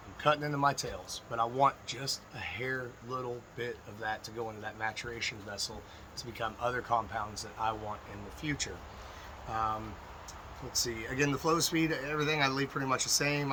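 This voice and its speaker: A man with a slightly froggy, hoarse voice gives informal, emphatic instruction as a distilling teacher talking to viewers. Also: medium pitch, bright, forceful tone, slight background noise, low-quality recording. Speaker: distilling instructor and video host. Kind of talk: informal instructional talk to camera.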